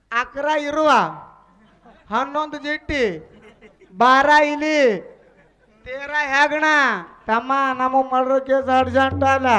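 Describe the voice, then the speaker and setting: A man's voice: extremely high pitch, heavily acted. A man playing a wheedling, chattering landlord's sidekick in a village stage drama, speaking colloquially with a North Karnataka accent. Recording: stage recording with very loud music.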